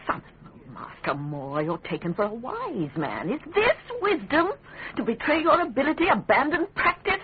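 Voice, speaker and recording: An actress playing a blunt, scornful, fiery wife of a statesman in a radio drama. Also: high voice. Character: fiery, scolding, plain-spoken wife of a statesman. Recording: phone-like lo-fi radio recording, faint noise.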